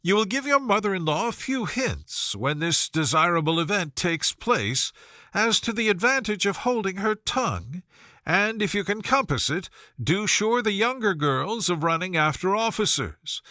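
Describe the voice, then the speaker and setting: A man voicing a haughty, jealous young gentlewoman in a storybook reading, with an arch, needling, mock-sweet manner. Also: sits high, sing-song, moderate pace, no echo, studio quality, voice pushed up, heavily acted.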